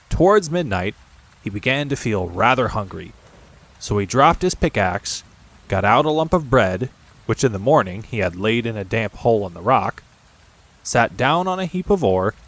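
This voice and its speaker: A man narrating a classic storybook as a scripted audiobook reading. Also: medium pitch.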